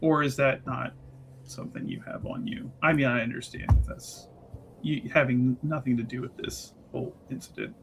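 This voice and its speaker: A man's voice: medium pitch, steady tone, normal speed, creaky, a little hiss or hum, lightly acted. A man voicing a sly, teasing female investigator with a politely probing, insinuating manner in a tabletop game.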